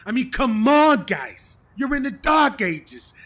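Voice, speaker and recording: A man's voice: very high-pitched, very animated, slow pace, a bit bright, heavily acted. A man acting a pompous, complaining tabletop gamer who plays a boastful paladin in a comedy audio drama. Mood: indignant complaining.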